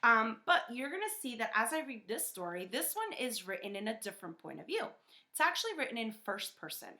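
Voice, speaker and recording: A woman's voice: on the high side, very animated, a little fast, bright, forceful tone. A woman teaching children through a story read-aloud, instructive, with questions and frequent checking tags.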